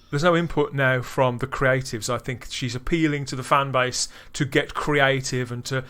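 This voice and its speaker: A man hosting a podcast, chatting casually and delivering upbeat, theatrical links with quick laughs. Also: medium pitch, a bit bright, clean tone. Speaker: podcast host.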